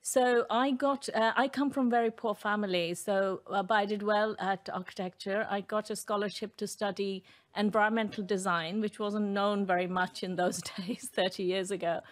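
A woman's voice: medium pitch, fairly even intonation, moderate pace, hardly any pauses. A woman in her fifties from India, an architect telling her story as a radio guest at a studio mic. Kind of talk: panel interview answer. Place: room set up for broadcast. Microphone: studio mic, headphones.

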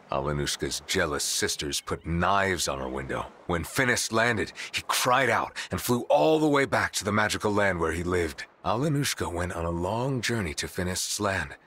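A man's voice: deep voice, bright, forceful tone, slight background noise. An actor playing an earnest, romantic young man in a video game cutscene, sincere and tender.

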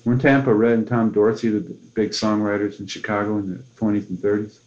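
A man's voice: lowish voice, little pitch movement, fast talker, a little mellow. A man with an American accent asks questions as an interviewer, in a casual, curious and attentive way. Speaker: music journalist interviewer. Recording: muffled interview tape.

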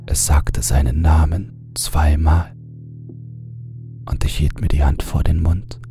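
A man narrating a horror audiobook in a hushed, often whispering manner, with music underneath. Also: rumbling low, steady tone, slow.

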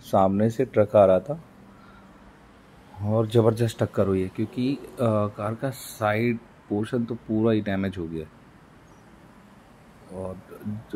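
A man talks through his road trip from the driver's seat, unhurried and pausing often between phrases. His voice is low and mellow. Faint road noise from the moving car hums underneath. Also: poor audio. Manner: unhurried, pauses with fillers. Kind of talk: casual narration while driving.

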